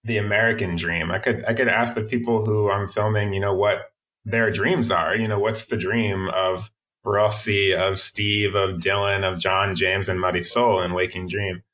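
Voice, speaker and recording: A man being interviewed as a filmmaker, speaking in a thoughtful, halting way with frequent restarts. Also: lowish voice, flat delivery, a bit bright, rough recording. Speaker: filmmaker guest. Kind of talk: interview conversation.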